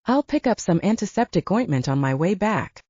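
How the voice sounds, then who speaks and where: A woman reading aloud, voicing a calm, protective male werewolf who speaks in a level, reassuring way. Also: high voice, a little fast, husky, no echo, heavily acted. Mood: commanding. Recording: dull lo-fi dry sound.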